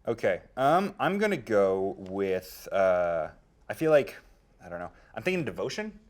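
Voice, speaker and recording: A man playing a tabletop roleplaying game, chatting casually and thinking out loud as he decides. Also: fairly low pitch, big pitch swings, unhurried.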